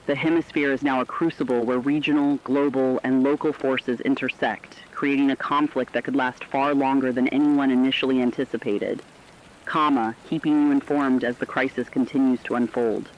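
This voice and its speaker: A man's voice: medium pitch, fairly even intonation, normal speed, lo-fi sound, slight background noise, natural voice. A man voicing a serious news analyst in a radio crisis broadcast, explaining events in formal scripted speech.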